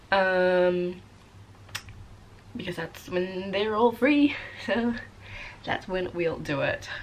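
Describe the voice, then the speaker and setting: Chatty young woman, low voice. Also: a little hiss or hum. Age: young adult. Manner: chatty, rambling with many fillers. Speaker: vlogger. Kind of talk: casual vlog chat. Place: small bedroom, indoors. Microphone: camera at arm's length.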